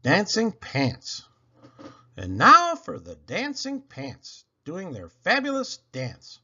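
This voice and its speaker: A man reading storybook poetry aloud to children in a theatrical, expressive way with dramatic pauses. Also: high voice, slightly rough, dull audio. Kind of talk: animated storybook read-aloud.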